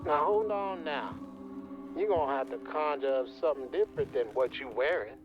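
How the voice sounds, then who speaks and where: A man's voice: medium pitch, expressive, fairly quick, highs cut off, over music, lightly acted. Man playing a swooping, sly Southern showman villain. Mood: sly, coaxing. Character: sly male showman villain, smooth-talking deal maker. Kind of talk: video game villain acting.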